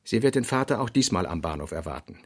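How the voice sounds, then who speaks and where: Male narrator, lowish pitch, creaky gravelly texture, scripted literary reading, dry close studio sound. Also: very animated, fast talker, dry recording.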